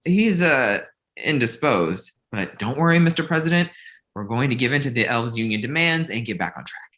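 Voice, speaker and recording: A man's voice: medium pitch, lively intonation, moderate pace, a bit bright, close to an everyday voice. A man playing an earnest, exasperated male manager in a comedy table read, urgent and conversational. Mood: harried.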